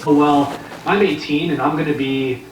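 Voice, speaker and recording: A man's voice: fairly high pitch, steady tone, moderate pace. A man in his twenties giving casual interview answers in a tentative way, qualifying what he says. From Canada. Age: 20s. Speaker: interview guest, new investor. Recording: echoey room, distant mic, faint noise.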